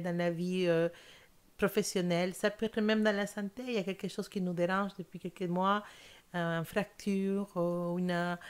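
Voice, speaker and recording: A young woman whose first language is not French, giving a monthly tarot reading in an earnest, hesitant way with many fillers and restarts. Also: mid-range voice. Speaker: tarot reader.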